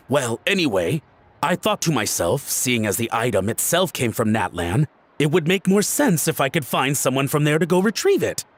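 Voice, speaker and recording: A man's voice: mid-range voice, very animated, moderate pace. An actor playing a nervous, flustered man who rambles and fumbles, in an overly formal manner. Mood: sheepish. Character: nervous, flustered man caught out, eager to please. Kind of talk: video game voice acting.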